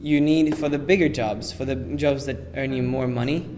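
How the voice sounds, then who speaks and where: A man's voice: mid-range voice, steady tone, normal speed, a little mellow. An eighteen-year-old Swedish man speaks English as a second language, hesitating and restarting as he explains his point. His voice carries a long hall reverb over faint background noise. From Sweden. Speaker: exchange student. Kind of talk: casual group discussion.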